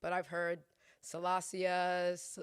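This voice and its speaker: A woman's voice: lowish voice, completely flat delivery, slow, clean tone. A young woman chef, born in Ghana and raised in the US South, chatting playfully as a podcast guest and laughing often. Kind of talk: casual conversation.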